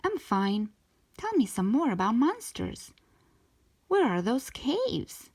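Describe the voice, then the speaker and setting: Woman playing an excitable little storybook girl, high-pitched. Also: takes it slowly, breathy edge, heavily acted. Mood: curious. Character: young girl pleading for a pet, excitable, tearful. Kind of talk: children's storybook character voice.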